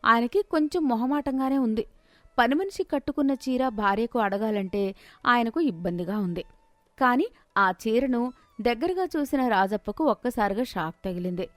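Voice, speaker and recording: A woman's voice: on the high side, lively intonation, normal speed, no echo. A woman storyteller reading a scripted moral tale.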